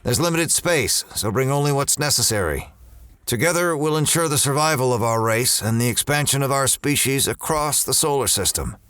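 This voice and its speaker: An actor playing a grim, weary, commanding space ranger in a sci-fi audio drama, with stern, measured delivery. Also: male voice, mid-range voice, animated, fairly bright tone, clean tone, no room sound, close to an everyday voice. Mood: rallying, solemn.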